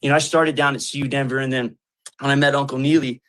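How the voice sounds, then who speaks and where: A man in his twenties from Colorado, speaking earnestly in casual conversation with halting restarts and many fillers. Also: medium pitch, level tone, fairly bright tone. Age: 20s. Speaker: guest, community founder.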